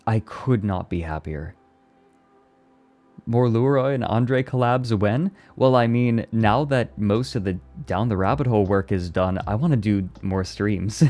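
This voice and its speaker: A man's voice: medium pitch, darkish tone. A young man chatting casually on a live stream, reading and answering his chat and laughing often. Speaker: VTuber streamer.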